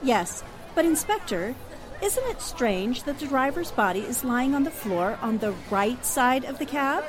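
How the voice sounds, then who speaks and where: An actress playing a polite, self-possessed and inquisitive newspaper reporter in a 1940s radio drama style. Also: female voice, high-pitched, expressive, measured pace. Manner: polite, crisply questioning. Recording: music and crowd murmur behind the voice.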